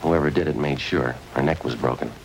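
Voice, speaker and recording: A man's voice: a bass voice, brisk, vocal fry, very noisy. An actor playing a terse, matter-of-fact male police detective narrating a 1950s radio crime drama. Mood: businesslike. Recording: old radio broadcast with music underneath.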